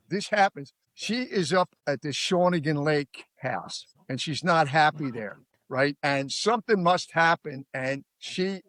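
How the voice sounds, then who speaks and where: Philadelphia man, higher voice, rambling. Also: a bit bright, no room sound. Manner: rambling, emphatic case retelling. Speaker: podcast guest. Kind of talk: casual true-crime discussion.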